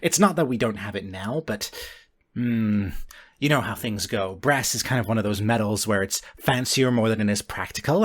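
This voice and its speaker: Man playing low-voiced, shrewd animal-eared informant. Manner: thoughtful, hesitant hums, sweary street talk.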